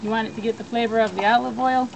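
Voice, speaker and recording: Higher-voiced woman giving cooking steps outdoors. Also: fairly even intonation, rapid-fire, creaky, lo-fi sound. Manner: plain step-by-step instructions. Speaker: cooking instructor. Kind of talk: cooking demonstration. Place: backyard outdoors. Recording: outdoor background noise.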